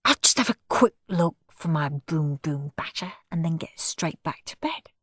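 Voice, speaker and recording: A naughty, scheming young boy, as a woman reading a storybook puts him on. The voice is high and lively, leaping about as he plots and crows. It sounds dry and close. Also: takes it slowly, dry recording, exaggerated voice. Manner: excited, scheming. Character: mischievous greedy young boy.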